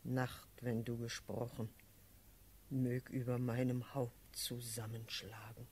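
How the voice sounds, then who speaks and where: An actress playing a mature, grief-stricken yet commanding noble wife, declaiming verse in a classical radio play. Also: female voice, a bass voice, little pitch movement, takes it slowly, darkish tone, slight background noise, heavily acted. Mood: braced, commanding.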